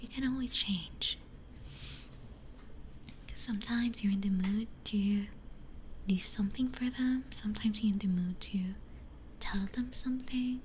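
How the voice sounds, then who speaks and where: A woman speaking in a soft, breathy whisper, chatting casually and reflectively as an ASMR streamer, close to a binaural microphone. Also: mid-range voice, unhurried, pauses often. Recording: faint hiss and ambient noise.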